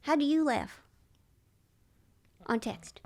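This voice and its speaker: A woman with a high, light voice, guesting on a comedy interview podcast. She talks in a quirky, self-deprecating way and often trails off and restarts her thoughts. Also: big pitch swings, takes it slowly, creaky, mellow.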